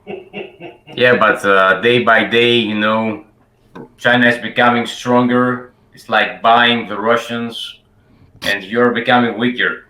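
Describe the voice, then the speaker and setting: Man, hesitant with fillers, sharp bright tone, echoey webcam room. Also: medium pitch, steady tone, takes it slowly. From Greece. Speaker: chat stranger. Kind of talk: casual video chat.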